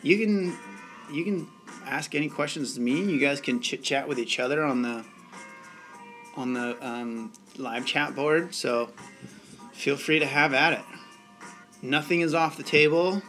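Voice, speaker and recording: A man's voice: on the high side, unhurried, pauses often, a bit bright, soft background music. A young man hosting a live bartending stream, chatting casually and laid-back with viewers. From United States. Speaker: bartending channel host.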